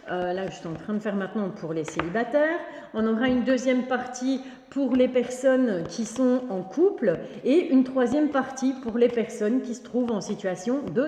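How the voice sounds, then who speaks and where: A woman giving an informal tarot reading straight to the viewer, halting and repeating herself as she goes. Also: a little high, mellow, slight background noise. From Belgium. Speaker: tarot reader and online host. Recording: echoey, reverberant room.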